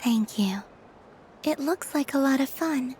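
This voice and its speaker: A woman voicing a gentle, serene young goddess in a video game, soft and innocently curious. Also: high voice, lively intonation, unhurried, a little hiss or hum.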